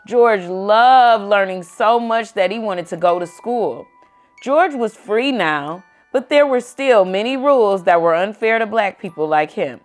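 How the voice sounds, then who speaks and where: A woman reading a children's book aloud as host, using simple phrasing and speaking to a young child between passages. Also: mid-range voice. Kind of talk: read-aloud storytelling with simple asides to a child. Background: background music.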